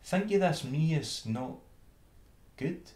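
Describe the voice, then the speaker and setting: A man's voice: fairly high pitch, expressive, slow, darkish tone, echoey room, voice pushed up. A man voicing a humble younger country mouse sister, earnest and homely, with a Scottish accent in a storybook verse. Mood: questioning.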